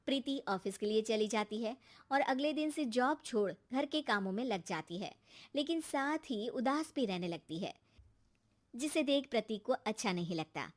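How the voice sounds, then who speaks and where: A woman's voice: on the high side, lively intonation, moderate pace. A woman narrating a scripted cartoon family story as the storyteller.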